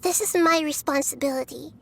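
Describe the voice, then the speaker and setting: A woman voicing a small, gentle child goddess in a video game, soft and youthful, speaking in a measured, thoughtful way. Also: high voice, vocal fry. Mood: resolute, gentle.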